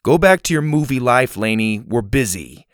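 A blunt, hot-tempered storybook schoolboy, acted out by a man. He is snappy and excitable, with pitch that jumps about a great deal, recorded dry. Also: normal speed, dry recording, close to an everyday voice. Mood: irritated. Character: sarcastic, hot-tempered schoolboy who turns panicky in trouble.

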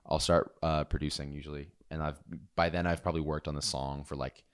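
Very deep-voiced laid-back young man. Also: level tone, fairly quick, clear, resonant tone, no room sound, clean studio sound. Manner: laid-back, chatty, lots of "like" and "dude". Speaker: music artist and producer. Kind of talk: casual interview chat.

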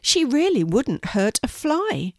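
A woman voicing a kind male stable animal in a children's story, speaking in a gentle, calm and reassuring manner. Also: high-pitched, takes it slowly, dry recording, studio quality, voice pushed up, full character voice.

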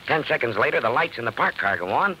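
An actor playing a weary, plain-spoken, sympathetic police detective in a matter-of-fact radio drama style. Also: male voice, mid-range voice, sing-song, fast, bright, forceful tone. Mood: determined, sympathetic. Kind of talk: 1940s radio acting. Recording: faintly noisy old radio recording.

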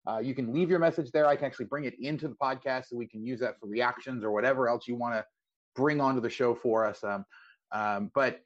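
A man's voice: mid-range voice, fast. A man hosting a sports podcast, talking casually in an interview. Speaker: podcast host. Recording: slightly dull, lo-fi audio.

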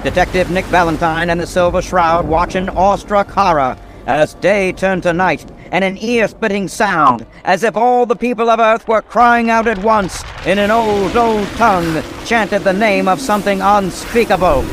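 Man as high-voiced dramatic radio serial narrator. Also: moderate pace, hardly any pauses, background noise, natural voice. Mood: ominous. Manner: grandiose, dramatic storytelling. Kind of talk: old-time radio scripted narration.

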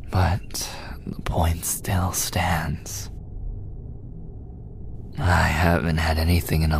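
A man playing an obsessive, possessive, blood-starved male vampire in an intimate audio roleplay, breathy and often dropping to a whisper. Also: very deep voice, slight background noise, heavily acted. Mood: hungry, intimate. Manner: slow, intimate, gloating. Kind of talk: boyfriend ASMR audio roleplay.

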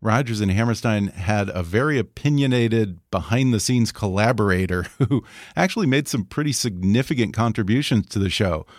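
Male interview host, fairly low voice, dry close sound, attentive. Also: moderate pace, dry recording. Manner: attentive, frequent affirming "yeah" and "mm-hmm". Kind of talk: conversational interview questions.

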